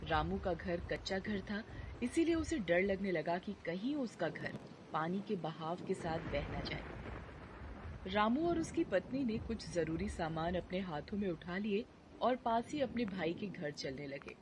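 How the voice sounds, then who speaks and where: A woman reads out a cartoon story in a scripted, storytelling manner, with lively pitch movement. Clear noise sits behind her voice throughout the recording. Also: measured pace. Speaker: narrator. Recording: noisy background.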